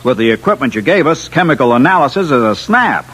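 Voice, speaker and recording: Brash, animated Earthman inventor. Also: medium pitch, fast, slightly rough. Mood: cocky, wry. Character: brash, confident Earthman inventor held by aliens. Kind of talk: 1950s radio drama acting. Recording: old-time radio recording.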